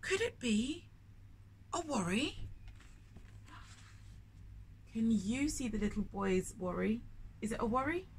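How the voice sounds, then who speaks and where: Expressive higher-voiced woman reading to children. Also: a little hiss or hum. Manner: expressive, drawn-out emphasis, questioning the listener. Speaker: storyteller reading picture books to children. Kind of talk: read-aloud children's story with asides to young listeners. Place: indoors in a bookshop, in front of shelves.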